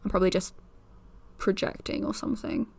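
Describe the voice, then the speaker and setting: An actress plays a young woman hosting her own mystery podcast, unsettled and unsure of herself. She talks in halting bits that trail away, recorded dry and close. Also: mid-range voice, wildly swooping pitch, vocal fry, slightly rough, no room sound, heavily acted. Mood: uneasy. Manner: nervous, hesitant, trailing off. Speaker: podcast host character. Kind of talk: audio drama acting.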